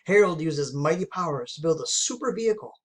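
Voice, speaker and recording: Casting: children's storybook narrator, medium pitch, expressive and emphatic read-aloud delivery. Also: fast, breathy edge. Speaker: story reader.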